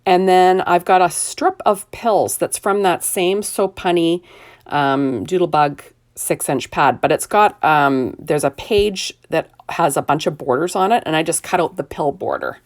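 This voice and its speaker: An older woman with a rough, hoarse voice, chattily narrating a card-making tutorial step by step. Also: lowish voice, unhurried. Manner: chatty, self-deprecating, narrates each step with "there we go". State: hoarse, recovering from illness. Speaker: card-making video host. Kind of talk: casual crafting tutorial narration.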